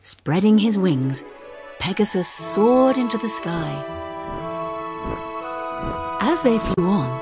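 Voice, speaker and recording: A woman narrating a children's read-along storybook in an expressive, dramatic and deliberate way. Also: medium pitch, music in the background.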